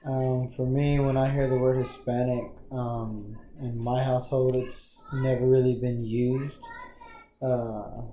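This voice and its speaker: A man's voice: mid-range voice, warm tone. A young man from the Panhandle, answering interview questions with many ums and uhs. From Texas Panhandle, USA. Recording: echoey room, faint ambient noise.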